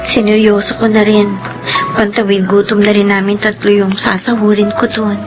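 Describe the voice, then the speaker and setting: A woman's voice: mid-range voice, little pitch movement, normal speed, dull audio, close to an everyday voice. A young woman with a Filipino accent playing an earnest, wounded daughter in a radio drama, plaintive, with music behind. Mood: resolved. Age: young adult.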